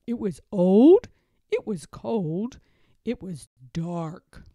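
A woman reads a children's picture book aloud with dramatic emphasis. Her voice is soft-edged and mellow, moving slowly with very lively intonation. The sound is close and dry. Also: mid-range voice, no echo. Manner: dramatic, with suspenseful pauses and emphasis. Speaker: read-aloud storyteller.

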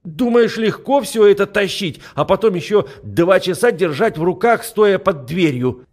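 A man's voice: sits high, expressive, normal speed, darkish tone, voice pushed up. A man voicing a brash, bossy young woman who teases her best friend in an audiobook. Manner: categorical, pushy, mocking.

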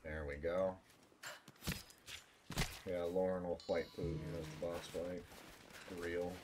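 A man's voice: low-pitched, little pitch movement, slow pace. A man streaming a video game with casual, joking, sweary commentary, muttering to himself as he plays. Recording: clear background game noise.

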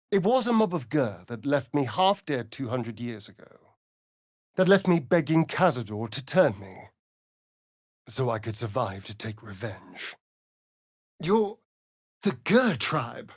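Snarky vampire rogue for a fantasy game, drawly and dramatic, super expressive, dry close recording. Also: male voice, medium pitch, husky, no echo, exaggerated voice. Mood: bitter, vengeful, then tense. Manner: arch, drawling, theatrical. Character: sardonic, theatrical, vain male vampire rogue, silky and wounded. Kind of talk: fantasy video game voice acting.

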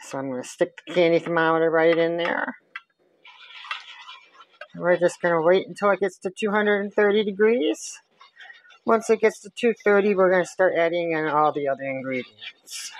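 A woman's voice: fairly low pitch, moderate pace, dry recording, rough recording. A woman walking through a recipe step by step in her home kitchen, chatting as she stirs. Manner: chatty step-by-step explaining while stirring. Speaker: home cook. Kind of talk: casual cooking demonstration. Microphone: camera on counter.

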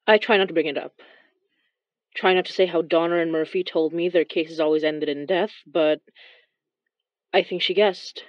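A woman's voice: on the low side, steady tone, normal speed, pauses often, no echo, close to an everyday voice. Wistful young female narrator for horror audio drama, played by a woman; confiding, apologetic, dry but slightly dull sound. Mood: reflective, confiding. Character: young Filipino immigrant woman, reflective, wistful, awkwardly apologetic. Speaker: narrator and lead of a horror fiction podcast.

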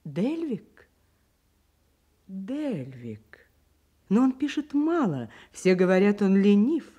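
An actress playing a gracious, teasing society countess in a radio drama, genteel and drawling. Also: female voice, medium pitch, big pitch swings, slow, warm tone, slightly rough, highs cut off, heavily acted. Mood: gracious curiosity. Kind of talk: Soviet radio drama acting.

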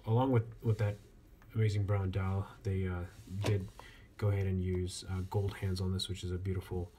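A man giving a casual hands-on product review as a reviewer, speaking in a halting way with frequent pauses. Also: deep voice. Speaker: watch reviewer. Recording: clear steady background noise.

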